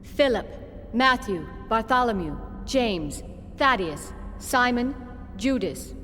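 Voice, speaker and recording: A woman's voice: a little high, animated, takes it slowly, fairly bright tone, in a large hall, slight background noise. A woman voicing a composed, precise, formal woman in a sci-fi video game.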